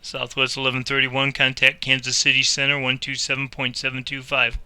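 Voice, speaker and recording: A man's voice: medium pitch, completely flat delivery, a little fast, sharp tone, smooth, no echo. A man playing air traffic controller in a simulator, giving procedural instructions and commenting on his own game. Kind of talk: simulator gameplay commentary and radio phraseology.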